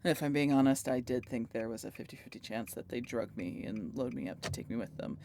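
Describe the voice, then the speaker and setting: A woman's voice: very deep voice, a little fast, warm tone, slight background noise. A woman playing a teasing, sarcastic, foul-mouthed vampire in a scripted roleplay audio drama, with playful, exasperated banter. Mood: sardonic, indignant.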